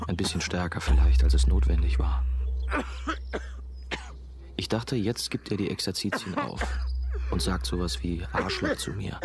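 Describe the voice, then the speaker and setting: An actor playing a troubled, wry young novice monk who narrates a radio play in a measured, introspective way. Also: male voice, deep voice, very animated, noisy background. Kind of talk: scripted first-person radio play narration.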